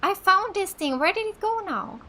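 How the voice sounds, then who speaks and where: A woman's voice: very high-pitched, animated, normal speed, sharp tone, slight background noise. A woman from Finland giving chatty, enthusiastic casual let's play commentary as a gaming host. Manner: chatty, enthusiastic about the game.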